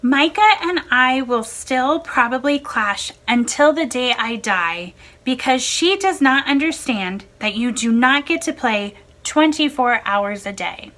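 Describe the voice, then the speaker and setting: Woman reading a personal essay, high bright voice, takes her time. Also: lively intonation, unhurried, hardly any pauses. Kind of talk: measured reading of a first-person blog essay.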